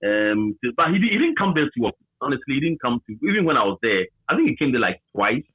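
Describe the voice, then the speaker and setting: A Ghanaian man reminiscing conversationally as an interviewee, with a husky voice and a habit of repeating phrases for emphasis, over a tinny line. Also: mid-range voice, sing-song, fast, no room sound. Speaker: interviewee, former campus radio manager.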